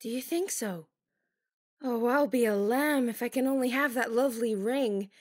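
A woman's voice: on the high side, normal speed, natural voice. A woman playing a vain, eager youngest sister who gushes over a pretty ring. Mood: gushing. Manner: eager, gushing.

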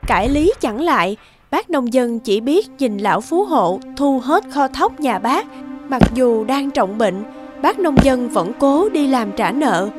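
A woman reading scripted narration for an animated folktale. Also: high voice, measured pace. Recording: music behind the voice.

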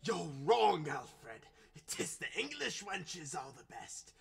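A rowdy wicked young lord in a radio play, gravelly, swings all over. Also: male voice, high-pitched, sing-song, slow pace, a crowd in the background, heavily acted. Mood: boastful. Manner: swaggering, bellowing. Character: boisterous, wicked young lord carousing with cronies. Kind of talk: 1930s radio drama acting.

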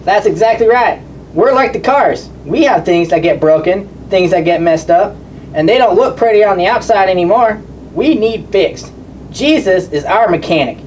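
A woman's voice: medium pitch, animated, normal speed, darkish tone, recorded from a distance, a little hiss or hum, close to an everyday voice, low-quality recording. A woman voicing a friendly, helpful male country mechanic puppet, folksy and easygoing. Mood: earnest. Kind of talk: children's puppet show acting.

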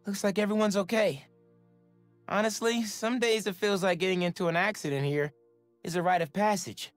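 An actor playing a sincere, enthusiastic teenage superhero in a video game, speaking casually. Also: male voice, high-pitched, steady tone, normal speed, dry recording, soft background music, close to an everyday voice. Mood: casual, amused. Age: young adult. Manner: casual, earnest.

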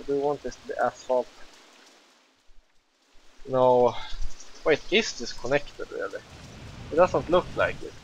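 A young man from Sweden with a Swedish accent, chatting casually and excitedly as he plays a game, swearing freely. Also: a little high, highs cut off, a little hiss or hum. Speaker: let's play gamer. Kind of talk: casual gameplay commentary.

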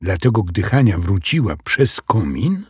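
A man reads a children's storybook in a very husky voice. His narration is slow-paced, with lively rises and falls in pitch. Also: no echo, studio quality.

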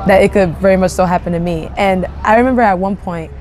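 A woman's voice: mid-range voice, fast, a little mellow, slight background noise. A young woman raised in Japan with Japanese as her first language, sharing personal stories in a thoughtful, casual way, with many "you know" fillers and restarts. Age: young adult. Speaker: interviewee. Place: outdoors among large tropical plants.

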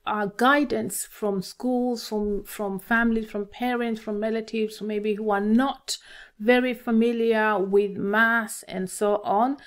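A middle-aged woman from Tanzania with a Tanzanian accent, talking to students as an encouraging maths teacher. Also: on the high side. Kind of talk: instructional talk to camera for students.

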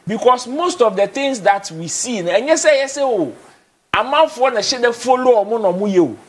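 A young man with a Ghanaian accent and a high, light voice talks animatedly from a TV studio chair. He slides between Twi and English and doubles up words as he presses his points, with a lapel mic catching him up close. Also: animated, moderate pace. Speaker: studio guest. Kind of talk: casual TV studio discussion. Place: TV studio, indoors.

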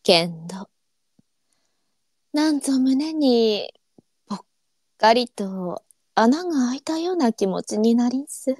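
A woman playing a tender-hearted, melancholy courtesan of old Japan in a live voice drama, speaking softly and demurely in courtesan dialect. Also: on the high side, animated, takes it slowly, pauses often, no echo, studio quality. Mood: wistful. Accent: courtesan arinsu dialect. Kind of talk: online live voice drama acting.

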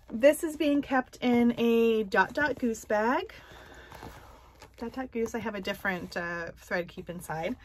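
Woman, casual craft vlogger, fairly high voice, slow and easygoing, filler-heavy, gently humorous. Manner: soft, unhurried, many ums and pauses; light self-deprecating humor with small laughs. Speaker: cross-stitch vlogger. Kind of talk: casual show-and-tell chat.

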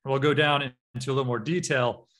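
American man giving a relaxed training talk. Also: medium pitch, brisk. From United States. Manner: relaxed, frequent uh and um fillers. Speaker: cybersecurity trainer. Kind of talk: online workshop lecture over slides.

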